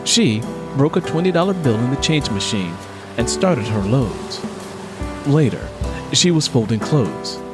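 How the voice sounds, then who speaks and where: A man's voice: medium pitch, very animated, unhurried, loud music behind the voice. A man reading scripted fiction as an audiobook narrator.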